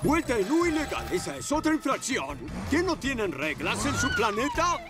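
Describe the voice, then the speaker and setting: A man's voice: very high voice, wildly swooping pitch, moderate pace, full character voice. A man voicing an officious adult police motorcycle who hands out tickets, in a cartoon dub with music behind. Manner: officious, stickler for rules.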